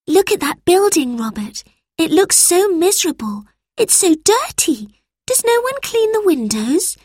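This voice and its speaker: A woman's voice: extremely high pitch, sing-song, unhurried, a little mellow, no echo, studio quality, pitched above the performer's own voice, full character voice. A woman voicing a curious, uneasy young girl in a storybook reading.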